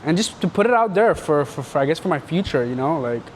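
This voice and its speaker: A man's voice: fairly high pitch, expressive, fast talker, a little hiss or hum, poor audio. Rambling, slangy young man. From Canada. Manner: rambling and slangy, full of filler words. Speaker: entrepreneur telling his story. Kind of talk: casual storytelling interview.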